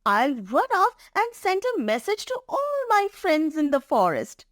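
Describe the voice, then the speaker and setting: Woman voicing a tiny high-pitched polite storybook mouse. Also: huge pitch swings, unhurried, fairly bright tone, no room sound, clean studio sound, full character voice. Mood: reassuring, eager. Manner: pleading, eager, polite.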